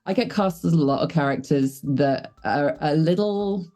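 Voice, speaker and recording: A woman with a low voice, a voice actor chatting casually in an interview, thoughtful and self-deprecating. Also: moderate pace, mellow. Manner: thoughtful, self-deprecating, laughs while talking. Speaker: voice actor guest.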